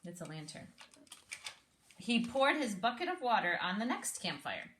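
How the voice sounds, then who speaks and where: A woman reading a story aloud expressively, pausing to explain and ask questions like a teacher. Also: mid-range voice, very animated, fairly bright tone, echoey room, slight background noise. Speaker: speech therapist reading aloud to a child.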